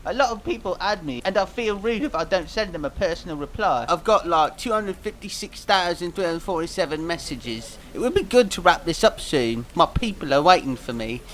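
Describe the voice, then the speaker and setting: A man's voice: high voice, animated, a little fast, few pauses, fairly bright tone, a little hiss or hum, rough recording. A dim but earnest cartoon pop star, acted by a man, speaks. He rambles naively through interview answers, drifting vaguely from thought to thought. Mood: puzzled, rambling. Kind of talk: mock interview fan dub.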